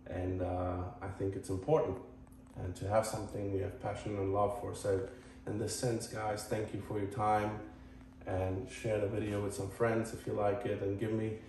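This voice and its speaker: Man, Croatian accent, very even intonation, rambling casual vlog talk, earnest, halting, far from the mic with faint noise. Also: lowish voice, heavy reverb. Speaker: host of a tennis channel.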